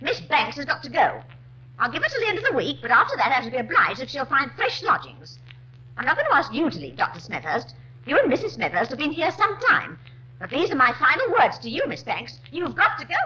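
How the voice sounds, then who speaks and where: A prim Victorian landlady in an old radio drama, blunt and indignant. Her high, piercing voice swings wildly in pitch as she scolds. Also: wildly swooping pitch, sharp tone, heavily acted. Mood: indignant, firm. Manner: brisk, scolding. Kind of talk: 1950s radio drama acting. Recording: noisy old radio recording.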